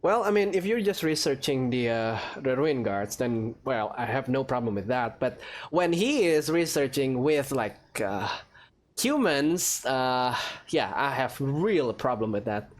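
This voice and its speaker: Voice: medium pitch, very animated, measured pace, a little hiss or hum. A let's player giving casual, rambling reaction commentary over gameplay in a hesitant, conversational way.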